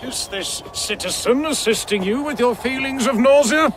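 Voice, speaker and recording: An actor playing a suspicious, officious high official in a radio drama, formal, fussy and condescending. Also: male voice, moderate pace. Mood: stern, accusing.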